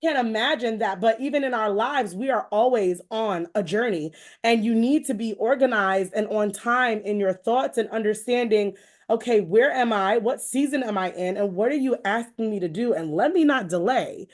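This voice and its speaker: Expressive, higher-pitched American woman hosting. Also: normal speed. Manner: effusive, rambling, expressive with quoted asides. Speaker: show host interviewing a guest. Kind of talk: conversational faith-based encouragement talk.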